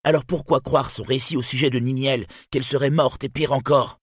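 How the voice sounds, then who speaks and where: A man puts on a village woman full of scorn. The voice is strident and raised in pitch, with a dry sound, in dramatic storybook style. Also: steady tone, fairly quick, husky, no room sound, natural voice. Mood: scornful, urgent. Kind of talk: dramatic fantasy storybook reading.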